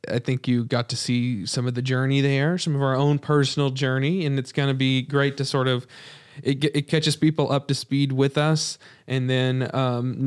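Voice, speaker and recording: A man's voice: medium pitch, smooth. A young man hosting a casual podcast chat in a home studio, rambling with frequent restarts. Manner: rambling, restarts, plugging the show. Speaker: podcast host.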